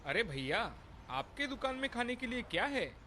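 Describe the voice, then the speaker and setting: A man voicing an appreciative traveller eating at a roadside eatery, effusive, in an animated moral story. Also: very high-pitched, moderate pace, a little hiss or hum, exaggerated voice. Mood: casual, requesting. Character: appreciative male traveller, roadside diner customer.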